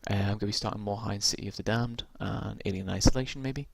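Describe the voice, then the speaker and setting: Croaky guy explaining game rules, kinda deep, lots of um and uh, dry close sound. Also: fast, no room sound. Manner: rambling, many ums and restarts. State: croaky from long talking. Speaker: tabletop game reviewer. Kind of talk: casual rules walkthrough.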